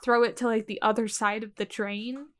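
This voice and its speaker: A woman's voice: on the high side, level tone, normal speed. A woman playing a tabletop game, chatting casually and hesitantly about her character's moves. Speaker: player.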